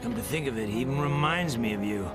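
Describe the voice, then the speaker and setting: An actor playing a smug, bloodthirsty gladiator champion in a video game, taunting and menacing. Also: male voice, mid-range voice, fast talker, close to an everyday voice. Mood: cocky. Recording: loud music behind the voice.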